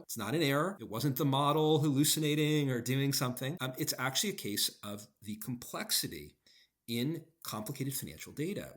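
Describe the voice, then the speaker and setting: Man presenting a demo, very lively pitch. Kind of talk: explanatory product demo, semi-scripted talk.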